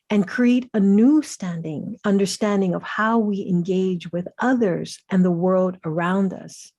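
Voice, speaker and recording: Woman from Hawaii, middle-aged, unhurried, reverent, reflective storytelling, dwells on key words. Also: mid-range voice, warm tone. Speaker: doctoral candidate presenting her dissertation. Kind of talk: slow, reflective storytelling presentation.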